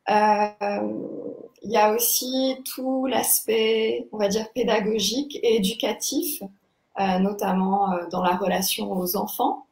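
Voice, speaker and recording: A young woman explaining her practice as a guest teacher, thoughtful and halting as she searches for words. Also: medium pitch, fairly even intonation, a little mellow. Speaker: body-movement teacher, interview guest. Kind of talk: explanatory interview talk. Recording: echoey, roomy sound.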